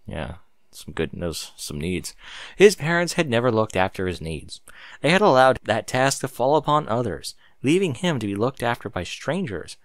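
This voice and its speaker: A man reading fan fiction aloud as narrator, in an expressive storybook style with a sardonic edge. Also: lowish voice, dry recording. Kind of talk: dramatic storybook narration with casual sarcastic asides.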